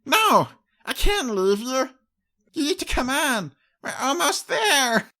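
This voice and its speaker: A woman's voice: sits high, very animated, slow pace, pushed and bright, exaggerated voice. A woman voicing a young, loyal little female pony who pleads desperately in an audiobook drama. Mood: tearful pleading. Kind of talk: audiobook character acting.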